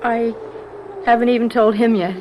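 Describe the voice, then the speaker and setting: An actress playing an anguished, apologetic young woman, a professor's daughter, in a radio drama, speaking in a halting, pleading way. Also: a little high, fairly even intonation, a little mellow, natural voice, rough recording. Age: young adult. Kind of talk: American radio drama acting. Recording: radio drama with clear background noise.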